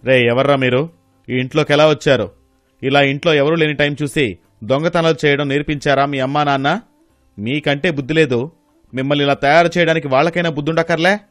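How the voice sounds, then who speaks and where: A man's voice: on the high side, steady tone, brisk, no echo, faint music behind, lightly acted. Man acting a stern, angry security guard. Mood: angry scolding. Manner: stern, threatening. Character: angry scolding male security guard of a rich house.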